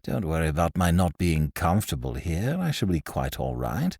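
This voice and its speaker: A man voicing a languid, smug young man laid up in bed, who speaks in a quiet, slow, patronizing drawl. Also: deep voice, big pitch swings, creaky, slightly rough, no echo, studio quality, voice pushed down, exaggerated voice.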